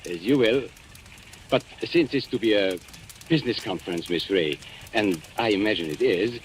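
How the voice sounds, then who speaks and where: A man's voice: on the low side, normal speed, close to an everyday voice. A man playing a suave, menacing antique dealer and blackmailer in an old radio mystery, polite, smug and theatrical. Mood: coolly menacing. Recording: old radio recording with background hiss.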